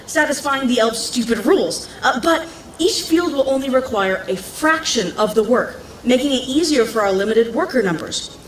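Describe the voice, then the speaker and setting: A woman's voice: high voice, roomy sound, a little hiss or hum, low-quality recording. An actress playing a bold, clever young townswoman in an audio drama, speaking in an earnest, persuasive, impatient manner.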